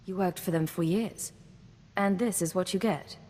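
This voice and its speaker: A woman playing a confident, wry commanding agent in a video game, with a cool, brisk manner. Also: on the low side, a little mellow, lightly acted. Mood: businesslike. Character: confident, wry female imperial intelligence agent, commanding officer. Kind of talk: scripted video game dialogue. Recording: long reverb, audible background noise.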